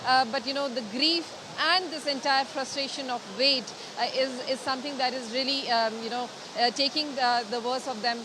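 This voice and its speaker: A woman with an Indian accent reporting live as a field news correspondent, halting and wordy as she goes. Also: high voice, fairly even intonation. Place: outdoors outside a hospital mortuary in rain. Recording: noisy outdoor live link with rain and traffic.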